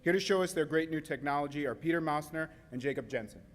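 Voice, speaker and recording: A fairly high-voiced man delivers a scripted product introduction on stage. He reads it out in a promotional manner, with long hall reverb around his voice. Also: quick pace, background noise. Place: large indoor conference stage.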